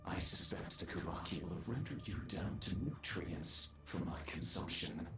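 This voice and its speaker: An actor playing a menacing undying villain in a video game, speaking in a grandiose, theatrical manner. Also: male voice, low voice, lively intonation, moderate pace, fairly bright tone, roomy sound, faint music behind. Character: menacing undying male villain, torn apart and reborn.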